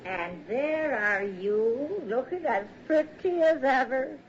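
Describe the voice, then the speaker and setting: Motherly older lady, radio drama, soothing n deliberate, muffled old recording w noise. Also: sits high, animated. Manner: slow, placating, deliberate. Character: soothing, motherly older woman hushing a frightened one. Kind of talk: old-time radio horror drama acting.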